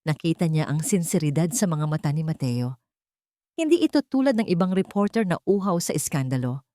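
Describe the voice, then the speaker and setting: A woman reading scripted dramatic fiction as a storyteller, in a measured, dramatic manner. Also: low-pitched, animated, warm tone, no echo, studio quality. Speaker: story narrator.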